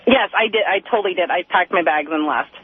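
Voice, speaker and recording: A woman's voice: lowish voice, quick pace, breathy edge, no echo. A woman, a hesitant, rambling and deferential caller chatting casually over the telephone. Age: 20s. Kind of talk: casual phone-in chat. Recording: tinny, narrow telephone line on radio.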